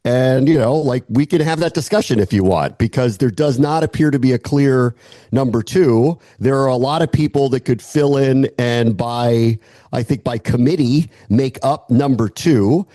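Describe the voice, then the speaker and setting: A man's voice: medium pitch, normal speed, mellow, no echo. An American man talking through football analysis as a guest, conversational and opinionated, often posing questions and weighing the answers aloud. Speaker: sports analyst, podcast guest.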